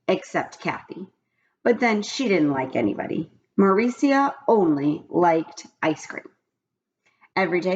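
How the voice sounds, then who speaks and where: Animated woman reading aloud to children. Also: on the low side, lo-fi sound, slight background noise. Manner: expressive, simple phrasing for children. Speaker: teacher reading aloud to pupils. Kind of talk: children's storybook read-aloud.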